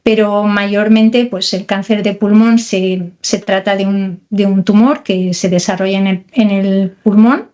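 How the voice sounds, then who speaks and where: A woman's voice: mid-range voice, fairly even intonation, measured pace, hardly any pauses, warm tone, lo-fi sound. Hesitant woman surgeon explaining plainly. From Portugal. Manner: hesitant, many fillers and restarts while explaining. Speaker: thoracic surgeon, guest. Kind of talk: interview answers, explaining.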